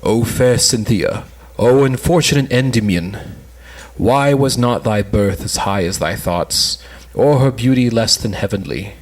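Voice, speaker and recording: A man's voice: on the low side, measured pace, rough recording. A man playing a lovesick, melancholy suitor, earnestly declaiming in an amateur cold reading of an old stage play. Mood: yearning, sorrowful.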